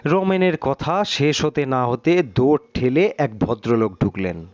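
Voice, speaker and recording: A man's voice: mid-range voice, animated, measured pace, a little mellow, dry recording. A man reading a story aloud as a dramatic audiobook narrator. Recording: slightly dull, muffled sound.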